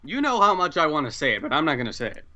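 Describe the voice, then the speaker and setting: A man's voice: on the high side, big pitch swings, rapid-fire, pushed and bright. A man playing in a tabletop game, chatting and joking casually. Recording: dull lo-fi mic, keyboard clatter.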